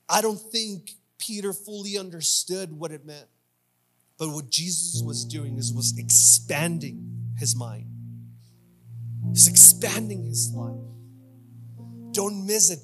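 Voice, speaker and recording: A man's voice: high voice, a bit bright, husky. A man in his thirties preaching a sermon in an informal, conversational way, repeating phrases for emphasis, on a handheld mic. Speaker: pastor preaching at a church service. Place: church stage indoors. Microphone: handheld microphone.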